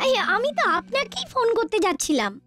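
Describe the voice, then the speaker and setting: A woman voicing a shrill, complaining young mother in a cartoon. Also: huge pitch swings, fast talker, fairly bright tone, heavily acted. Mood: fussy.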